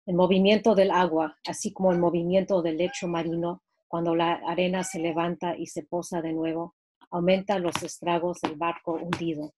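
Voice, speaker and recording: Brief: woman, lowish voice, steady measured reading from her book, home video call. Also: poor audio.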